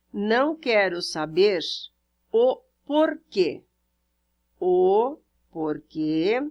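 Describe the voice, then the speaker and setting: Brazilian lady teacher going over answers real slow, kinda low voice, spells everything out. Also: vocal fry, a little mellow. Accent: Brazilian Portuguese. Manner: slow, deliberate, explains each answer step by step. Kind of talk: reading out and correcting a workbook exercise.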